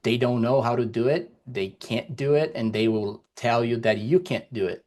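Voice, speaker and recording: A man from Brazil, a native Portuguese speaker with a Brazilian accent, speaking as a podcast guest over a video call in a deliberate, encouraging way with many fillers. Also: mid-range voice, darkish tone. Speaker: guest, security executive. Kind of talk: podcast interview. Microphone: remote video call.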